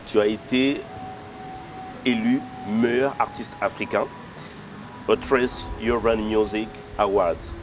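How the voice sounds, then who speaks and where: A man's voice: on the low side, fairly even intonation, takes it slowly, pauses often. A man interviewing a singer, asking friendly questions and prompting with short murmurs. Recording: outdoor street noise behind.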